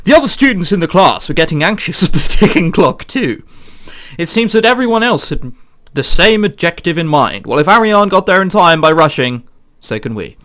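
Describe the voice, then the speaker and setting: A man commentating on a game and reading its text aloud in a casual, joking and animated way. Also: on the high side, moderate pace. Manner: animated, joking, frequent swearing and exclamations. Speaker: let's play host.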